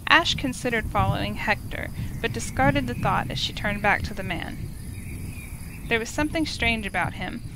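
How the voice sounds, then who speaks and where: A woman's voice: on the high side, moderate pace, background noise. A woman reading narration from a visual novel aloud in a storytelling style, as a game streamer.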